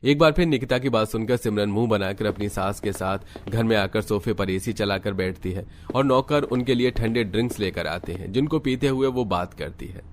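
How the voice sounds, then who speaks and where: A man's voice: on the low side, moderate pace, hardly any pauses, soft background music. A man narrating a scripted cartoon family story.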